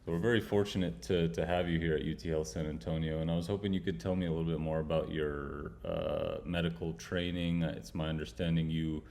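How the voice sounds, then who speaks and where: A young man hosting an interview, asking questions in a halting way with many fillers. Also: rumbling low, steady tone, vocal fry, darkish tone. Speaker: medical student host. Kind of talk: interview host.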